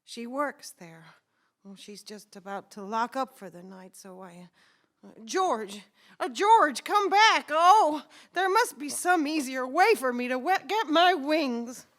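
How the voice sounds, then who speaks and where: A high-voiced, kindly old apprentice angel from a radio drama, acted by a woman. He speaks slowly and brightly, fluttering and apologising as he goes. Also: animated, exaggerated voice. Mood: nervous. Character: bumbling, kindly, elderly apprentice angel, male. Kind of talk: old-time radio play acting.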